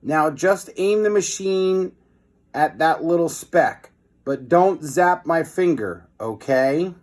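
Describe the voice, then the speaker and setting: A man voicing an excitable young schoolboy in a storybook reading, with drawn-out, exclaiming calls. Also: on the high side, voice pushed up. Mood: excited.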